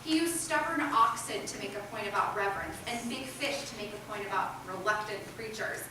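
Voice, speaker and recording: A woman's voice: mid-range voice, fairly quick. Woman reading aloud, very echoey and distant. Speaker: reader in a readers' theatre group. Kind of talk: scripted dramatic reading. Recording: echoey hall, distant mic, loud noise.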